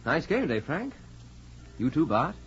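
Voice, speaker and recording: An actor playing a subdued young college football player in a 1940s radio drama. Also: male voice, on the high side, big pitch swings, moderate pace. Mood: subdued, polite. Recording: muffled, hissy 1940s radio recording.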